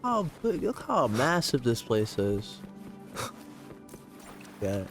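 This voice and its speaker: A man giving casual, slangy live reaction commentary while playing a video game, exclaiming excitedly. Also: medium pitch, takes it slowly. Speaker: gaming content creator reacting to a story quest. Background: video game music.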